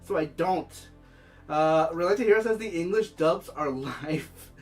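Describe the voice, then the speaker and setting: A gaming livestream host speaks casually at a middling pitch, with a nose stuffed up from a head cold. The delivery is chatty, moving between explanation and calling out viewer comments. Music plays behind the voice. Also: expressive, unhurried. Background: background music.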